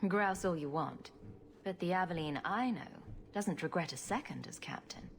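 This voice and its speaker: An actress playing a wry, sarcastic adventurer heroine with a dry, joking manner in a fantasy game. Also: female voice, lowish voice, lively intonation, normal speed, creaky, a little hiss or hum. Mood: dry, amused.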